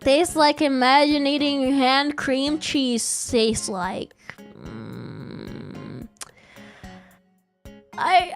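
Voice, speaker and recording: A young woman, chatting casually and playfully on a live stream, giggling often and rambling. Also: high-pitched. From Brazil. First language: Portuguese. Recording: faint music behind.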